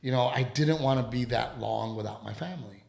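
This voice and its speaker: Male co-host, casual home podcast, a bit hoarse with a cough, meandering delivery, roomy sound. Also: mid-range voice, darkish tone. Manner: rambling, halting, full of restarts. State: lingering dry cough. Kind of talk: casual chat.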